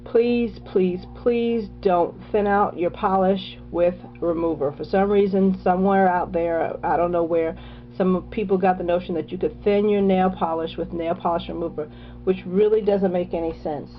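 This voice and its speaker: A woman's voice: on the low side, steady tone, normal speed, highs cut off, faint music behind. A woman casually talking through her nail care products, chatty, with many fillers and restarts. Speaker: beauty vlogger.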